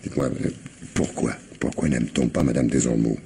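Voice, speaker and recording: An actor playing a folksy, slyly ironic police commissioner in a radio mystery, probing with hesitations and repetitions. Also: male voice, a bass voice, a little fast, vocal fry. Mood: probing, bemused. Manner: hesitant, repeats himself, muttering interjections. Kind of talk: French radio mystery drama acting. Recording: radio drama with audible background noise.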